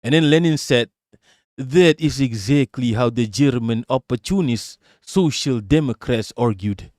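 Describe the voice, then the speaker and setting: A man speaking in a put-on American accent at a middle pitch, recorded dry and close with no room sound. He reads passages aloud and then breaks into emphatic, profane commentary, often tripping over and repeating his words. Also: measured pace, a little mellow, no echo. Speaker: livestream political commentator. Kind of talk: reading aloud mixed with ranting commentary.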